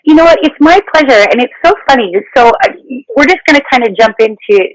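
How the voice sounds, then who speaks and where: A woman's voice: high voice, expressive, fast, no room sound, poor audio. A woman hosting a casual conversational interview in an effusive, encouraging manner. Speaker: podcast host.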